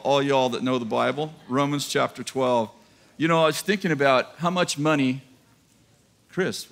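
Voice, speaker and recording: A man's voice: medium pitch, a bit bright. A man in his fifties preaching a sermon in a large church auditorium to a live congregation, talking in a casual joking way and laughing at his own lines. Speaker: pastor. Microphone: headset mic.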